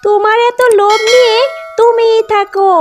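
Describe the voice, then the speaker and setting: A woman's voice: very high voice, little pitch movement, normal speed, music in the background. A woman voicing an indignant fox wife in a cartoon, accusing and scolding. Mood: outraged.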